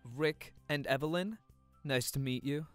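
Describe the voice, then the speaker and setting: A man's voice: on the high side, a little slow, dry recording, soft background music, close to an everyday voice. A man playing an easygoing, good-humoured boyfriend at a party in an audio drama. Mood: friendly. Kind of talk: naturalistic audio drama acting.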